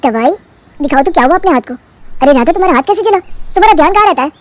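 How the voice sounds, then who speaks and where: A woman's voice: high voice, expressive, rapid-fire, no echo. A woman voicing a firm young husband in a cartoon story, lecturing and scolding. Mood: reasoning.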